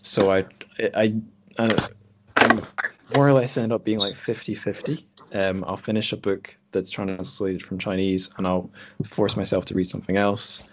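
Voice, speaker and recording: A man's voice: lowish voice, fairly even intonation, normal speed, a little hiss or hum. A man hosting a podcast, talking casually in a rambling, reflective way. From Scotland. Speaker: podcast host. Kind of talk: casual conversation. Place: group online call.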